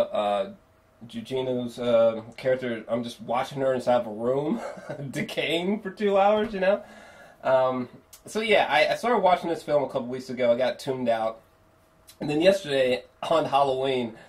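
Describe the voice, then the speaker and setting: A man's voice: medium pitch, moderate pace. A man giving a casual, unscripted film review, speaking in a rambling, emphatic way peppered with profanity.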